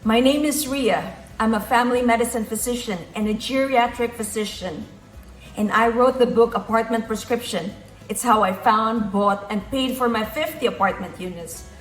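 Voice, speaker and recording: A woman's voice: fairly high pitch, normal speed, echoey room, faint music behind, rough recording. A woman from the Philippines with a Filipino accent, giving an earnest motivational talk and repeating key phrases for emphasis. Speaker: motivational speaker.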